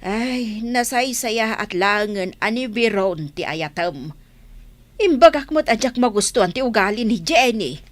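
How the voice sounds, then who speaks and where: A woman's voice: a little high, expressive, unhurried, no room sound. A woman playing a disapproving, matchmaking older mother of a grown son in a radio drama, nagging as she talks. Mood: indignant, scolding.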